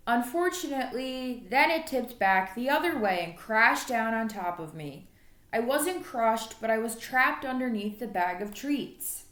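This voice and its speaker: High-voiced woman reading a storybook, echoey. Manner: expressive, dramatized reading. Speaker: elementary school teacher reading aloud. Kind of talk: storybook read-aloud narration. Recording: echoey room.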